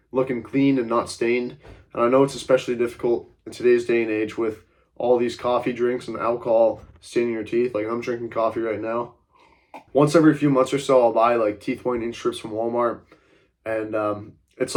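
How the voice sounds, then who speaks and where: A young man with a stuffy, congested voice talks straight to his camera in a casual vlog. His pitch sits in an ordinary middle range. He rambles through his advice with lots of "like" and "uh" and stays blunt, now and then throwing in a curse. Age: young adult. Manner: rambling, frequent fillers like "like" and "uh"; blunt, occasionally swears. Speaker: self-improvement vlogger.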